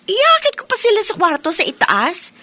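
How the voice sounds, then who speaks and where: A woman's voice: very high voice, wildly swooping pitch, normal speed, background noise, exaggerated voice. A woman with a Filipino accent acting a cheeky, sassy single younger sister and aunt in a radio drama. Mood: sassy teasing. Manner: sassy, bantering.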